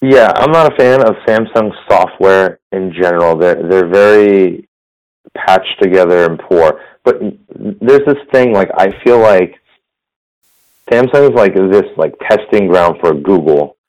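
Low, slightly hoarse man on a phone line. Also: lively intonation, a little slow, darkish tone. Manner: loose, rambling, many fillers and restarts. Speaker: podcast host. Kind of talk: casual tech chat. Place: business center. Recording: phone-quality audio.

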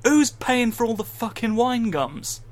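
A man's voice: very high-pitched, sing-song, measured pace. A man co-hosting a casual games podcast, sarcastic and swearing freely. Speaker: podcast co-host.

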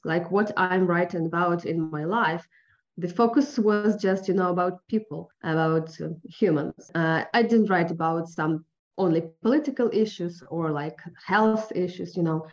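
Soft-spoken Ukrainian woman on a dull line. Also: fairly low pitch. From eastern Ukraine. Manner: careful, searching for words with many fillers. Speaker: journalist guest. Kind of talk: interview on a phone line. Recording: dull phone-line audio.

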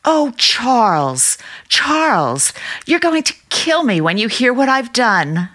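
A fluttery middle-aged housewife in a radio drama, fond and eager. Her voice is bright and forward, with big swoops in pitch and an unhurried, slow delivery. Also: big pitch swings, full character voice. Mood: fond fluster. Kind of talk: dramatic radio play acting.

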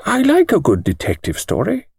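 Frail-thin old british lawyer, way up high, fussy and precise, thinking aloud, audiobook. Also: male voice, huge pitch swings, gravelly, a little mellow, breathy edge, no echo, full character voice. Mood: musing, deliberate. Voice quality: thin, small voice. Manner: precise, hesitant, deliberate. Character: elderly retired lawyer, thin, precise, musing, fanciful.

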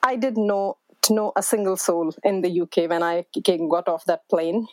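A woman's voice: lowish voice, steady tone, moderate pace, warm tone, no room sound, rough recording. Good-humoured woman, dry sound. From India. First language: not English. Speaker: scientist and entrepreneur. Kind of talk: recorded interview.

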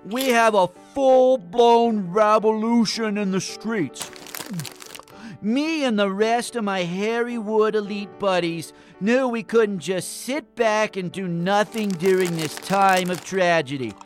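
An actor playing a smug, cowardly rabbit celebrity in a comedic radio play, with a scolding, affected manner. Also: male voice, sits high, slow, soft background music. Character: rich, smug, cowardly male rabbit celebrity making announcement.

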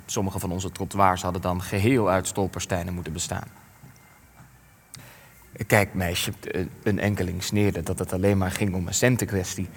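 Weary old war veteran, low-voiced, echoing. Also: male voice, little pitch movement, breathy edge. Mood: grave, halting. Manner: deliberate, rhetorical, halting with hesitations. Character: weary, defensive elderly war veteran being interviewed. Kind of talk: Dutch radio play acting. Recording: long reverberant hall.

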